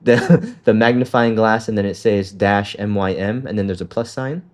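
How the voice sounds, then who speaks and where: A fairly low-voiced man talks a student through a trading platform step by step. His tone is casual and loose, full of fillers, with the occasional swear dropped in. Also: steady tone, normal speed. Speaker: trading coach. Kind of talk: casual walkthrough on a call.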